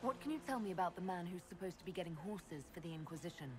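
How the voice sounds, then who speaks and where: An actress playing a resolute, businesslike young heroine in a fantasy game, speaking in a direct, questioning way. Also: female voice, fairly low pitch, animated, quick pace, over music, lightly acted. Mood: inquiring. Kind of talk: scripted fantasy game dialogue.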